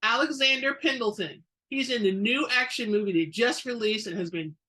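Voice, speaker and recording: Woman acting out an eager, bright-voiced young man. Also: on the high side, normal speed. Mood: excited. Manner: excitable, earnest. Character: eager, sweet young great-grandson, adult man.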